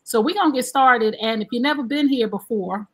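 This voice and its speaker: Woman host, fifties, talkative live-stream chat; slightly higher voice. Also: fairly quick. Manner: chatty, animated storytelling. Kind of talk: casual live-stream talk show.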